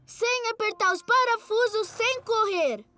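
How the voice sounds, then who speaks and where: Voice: extremely high pitch, unhurried, no room sound, exaggerated voice. A performer voicing a fussy, rule-obsessed young cartoon motorbike with a precise, officious manner, in a children's cartoon dub. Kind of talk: dubbed children's cartoon acting. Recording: loud music behind the voice.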